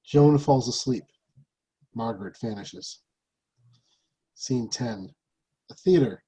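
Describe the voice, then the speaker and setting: Husky-voiced man reading stage directions. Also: medium pitch, slow pace, warm tone. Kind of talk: scripted narration of stage directions. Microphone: video call.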